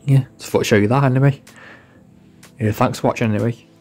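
Man, mid-pitched voice, informal product reviewer, rambling, repetitive, casual hands-on demonstration. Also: fairly even intonation, fast, darkish tone, faint music behind.

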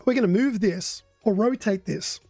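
An older man hosting a relaxed live modding tutorial, explaining code in a hoarse, coughing voice. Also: sits high, moderate pace, no room sound, soft background music. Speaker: modding stream host.

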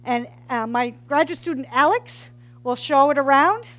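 High-voiced woman giving a geology talk. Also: moderate pace, a bit bright. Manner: informal, joking, quizzes the audience. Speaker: geology professor. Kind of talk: public science talk. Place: large hall with brick walls. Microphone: headset mic.

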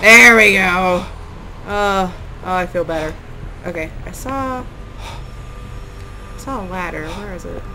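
A woman's voice: on the low side, slow, long pauses, background noise. A woman chatting casually and playfully as she plays a video game, exclaiming and talking to herself. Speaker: gamer playing and commenting. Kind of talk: casual let's play chat with co-commentator.

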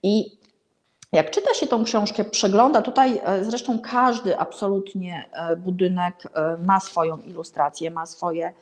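A middle-aged woman hosts an informal book review to camera, pausing and correcting herself as she goes. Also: mid-range voice, fairly even intonation. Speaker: vlog host recommending books. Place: indoor room hung with paintings.

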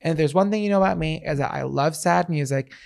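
A man in his thirties with a raspy voice talks into a handheld mic in a small home studio. He chats eagerly and at length about a favorite record, like a co-host running a casual podcast segment. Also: a little high, fast talker, darkish tone. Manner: chatty and enthusiastic, gushes about music. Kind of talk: casual chat. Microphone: handheld microphone.

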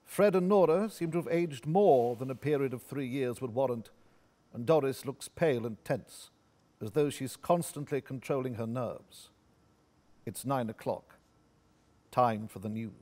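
A man's voice: medium pitch, measured pace, warm tone. A man reading scene-setting narration from a script at a staged play reading. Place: theatre stage.

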